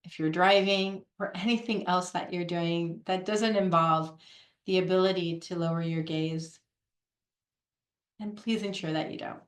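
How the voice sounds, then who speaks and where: A woman leading a guided meditation in a gentle, unhurried way, pausing between phrases. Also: fairly low pitch, fairly even intonation, reverberant. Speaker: guided meditation leader, Reiki practitioner. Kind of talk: guided meditation, soothing instruction.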